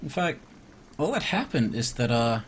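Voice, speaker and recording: A man from Iceland doing casual live gameplay commentary, reading game text aloud and thinking out loud as he mutters to himself. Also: medium pitch, sing-song, moderate pace, slight background noise. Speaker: video game streamer.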